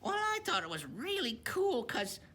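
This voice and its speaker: A woman's voice: on the high side, wildly swooping pitch, moderate pace, sharp tone, breathy edge, full character voice. A woman voicing a cheerful, wacky young pony in a children's book discussion, with some background noise. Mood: cheerful, musing.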